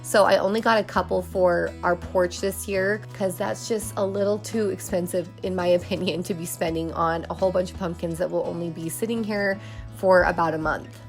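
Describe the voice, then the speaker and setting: A woman's voice: fairly low pitch, fairly even intonation, moderate pace, creaky, soft background music. A woman in her thirties, sick and losing her voice, chatting in a nasal tone as she narrates a home decorating vlog. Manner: chatty and upbeat, with fillers like "um" and "like". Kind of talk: casual voice-over narration.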